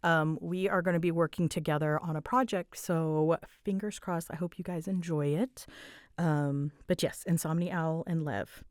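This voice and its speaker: Low-voiced woman in casual Q&A chat. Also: steady tone, moderate pace, no room sound, clean studio sound. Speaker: audio creator and voice artist answering listener questions.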